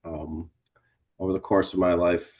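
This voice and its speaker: Husky, very deep-voiced man. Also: level tone, normal speed, a little mellow. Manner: plain, matter-of-fact. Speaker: board applicant. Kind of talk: self-introduction at a meeting. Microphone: video call.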